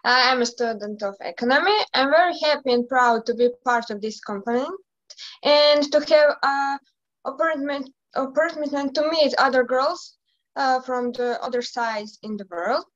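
A young Croatian woman with a high, light voice introduces herself in careful English. She repeats words now and then as she searches for them. The sound is dull and lo-fi, as on a video call. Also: moderate pace, a bit bright. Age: 20s. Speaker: pageant candidate, economics student. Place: indoor room.

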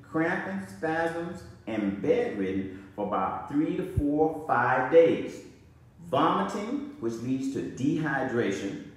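Slow, emphatic man in an echoey clinic. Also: medium pitch, slight background noise. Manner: slow, emphatic, repeats key phrases. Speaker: doctor giving a health lecture. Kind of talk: filmed lecture to camera. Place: urgent care clinic room, indoors. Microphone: phone camera at a short distance.

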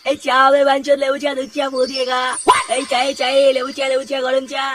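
Someone playing a whiny high-voiced cartoon tea seller. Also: monotone, fast talker, natural voice. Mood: hawking. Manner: whining, repetitive, pleading. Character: poor, pleading, put-upon street tea seller, an older uncle. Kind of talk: Bengali comedy cartoon voice acting. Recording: noisy, distant pickup with clicks.

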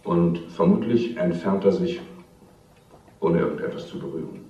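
Actor as distant, echoing police investigator. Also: male voice, low-pitched, moderate pace, noisy background, natural voice. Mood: dry, factual. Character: matter-of-fact police investigator. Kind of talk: radio crime drama acting. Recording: very echoey, distant, dull.

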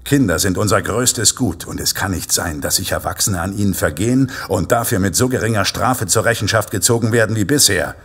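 An actor playing a mysterious, vengeful Santa Claus killer in a horror audio drama, grave and moralizing with a rough, measured delivery. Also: male voice, on the low side, fairly bright tone. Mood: stern, menacing.

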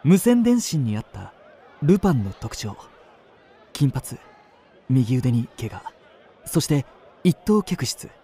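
Suave gentleman narrator, expressive delivery. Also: mid-range voice, breathy edge, some chatter behind, heavily acted. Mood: gallant, confident. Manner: measured, polished, courteous. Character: suave, well-bred young gentleman traveller telling his story. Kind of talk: radio drama narration and dialogue.

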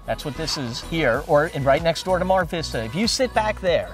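A man's voice: fairly high pitch, a little fast, music in the background. A middle-aged man, a local newspaper publisher, chatting animatedly in an outdoor interview at a waterfront construction site. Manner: animated, talks with hands.

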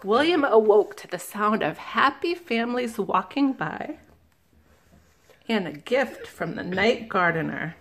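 A woman, a children's librarian, reading a picture book aloud slowly and gently, stopping to ask the listeners questions. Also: medium pitch, expressive, a little hiss or hum. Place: indoors at a table, camera above the book.

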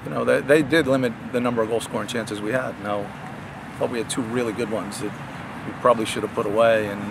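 Older male coach, post-game sideline interview, halting delivery, clear outdoor noise. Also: medium pitch, fairly even intonation. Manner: halting, many restarts and fillers. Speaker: head soccer coach. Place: outdoor soccer field at night.

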